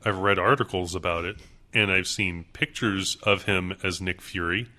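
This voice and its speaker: A man's voice: sits low, steady tone, moderate pace, sharp tone. A man co-hosting a casual podcast chat, reacting with short exclamations. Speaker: podcast co-host.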